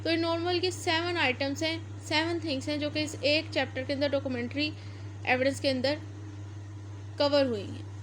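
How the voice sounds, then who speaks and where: A woman with a distinctly high voice and a Pakistani accent teaches law. She explains point by point in lecture style, dropping English legal terms into her sentences. Some background noise sits behind her voice. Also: fairly even intonation, normal speed, a bit bright.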